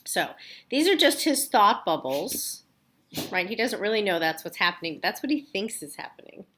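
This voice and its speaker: A woman teacher talks to children in a casual read-aloud style. Her mid-range voice is highly varied in intonation as she explains things simply and checks in with questions. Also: big pitch swings, moderate pace, a bit bright. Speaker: elementary school teacher.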